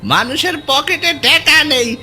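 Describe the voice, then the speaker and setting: Sharp, sneering rival fishmonger. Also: male voice, very high voice, animated, unhurried, soft background music, heavily acted. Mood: mocking. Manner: mocking, taunting. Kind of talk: cartoon story acting.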